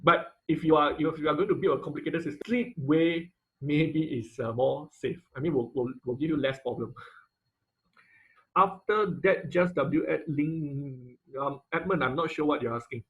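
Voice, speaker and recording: Male online instructor, slightly high-pitched, informal and halting with repeated words, on a dull video call. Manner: halting, repeats words and rephrases mid-sentence. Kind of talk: informal live online technical lesson with question and answer. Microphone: video call microphone.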